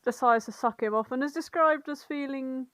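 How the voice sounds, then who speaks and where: A woman's voice: high-pitched, normal speed, a bit bright, dry recording. A woman co-hosting a podcast, retelling fan fiction in a giggly, sweary, informal comedic chat. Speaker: podcast co-host.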